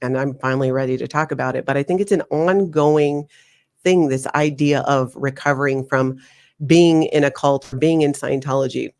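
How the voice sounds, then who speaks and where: A woman in her forties from Hawaii, a guest telling her life story in an interview, animated and laughing often. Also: rumbling low, normal speed. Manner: animated, laughs often, slips into acted quotes.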